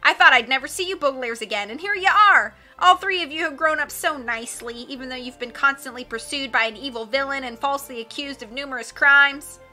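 A kindly young cook in a storybook, eager and glad, with a bright and fairly high voice as a woman reader acts him out. He speaks up warmly to old friends. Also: fairly quick, hardly any pauses, soft background music. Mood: cheerful.

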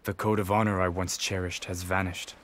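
A man playing an earnest, brooding young samurai in a video game, speaking gravely and introspectively. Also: low voice, level tone, a little fast, fairly bright tone, a little hiss or hum. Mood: solemn, reflective. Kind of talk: solemn video game voice acting. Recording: game cutscene with clear music behind.

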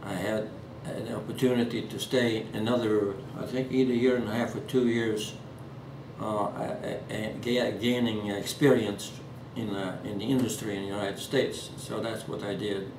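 An elderly man in his eighties from Norway, speaking English with a Norwegian accent in an oral history interview at home, halting with many fillers and restarts. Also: lowish voice, steady tone. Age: 80s. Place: living room at home. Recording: echoey room, distant mic, background hiss.

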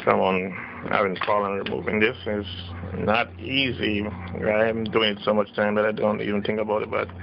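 A man slowly walking through a hands-on circuit board repair at his workbench, explaining each step plainly. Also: fairly low pitch, level tone, creaky. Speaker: radio repair technician. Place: workbench, indoors. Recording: dull lo-fi camera audio with noise.

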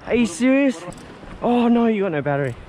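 A man filming himself fishing from an ocean rock ledge on an action camera, excitedly calling out instructions over wind and waves. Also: extremely high pitch, brisk. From Australia. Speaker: fishing vlogger. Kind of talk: excited outdoor commentary. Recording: loud wind and surf noise.

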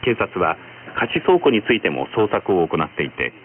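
Over a noisy, narrow shortwave radio signal, a man reads the news in a formal, measured way. His voice sits fairly low and moves widely in pitch as he delivers the script. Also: big pitch swings. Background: radio static and noise.

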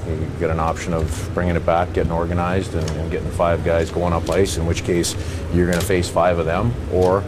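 A middle-aged man, a hockey head coach, answering reporters at a postgame press conference in a halting way, with many fillers and restarts. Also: low-pitched, little pitch movement, background noise. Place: arena press backdrop, indoors. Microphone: handheld reporter mics held close.

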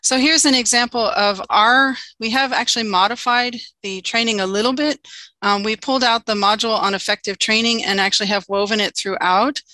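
Woman, conference presenter, earnest, lots of ums, bright tone. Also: fairly high pitch, steady tone, fairly quick, dry recording, poor audio. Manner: earnest, frequent ums, asks for next slide. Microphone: video call.

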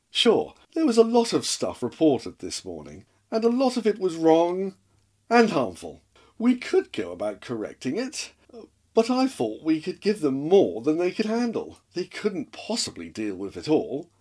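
Awkward young advisor, played by a man: husky, halting, very up-and-down. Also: a little high, darkish tone, full character voice. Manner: hesitant, stumbling, awkward. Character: sheepish young male political advisor.

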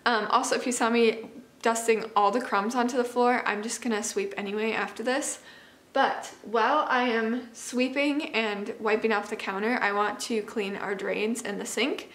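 A woman's voice: on the high side, steady tone, moderate pace, a bit bright. A woman chats casually to camera as a homemaking vlogger, informal, rambling and self-deprecating. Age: 20s. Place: home kitchen, indoors. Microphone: camera microphone, about arm's length or further. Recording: echoey open kitchen room.

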